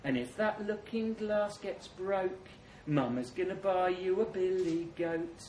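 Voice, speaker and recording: A man voicing a ghostly woman softly singing a lullaby, in a hushed, faint singsong. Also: high-pitched, animated, measured pace, slight background noise. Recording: distant, roomy echo.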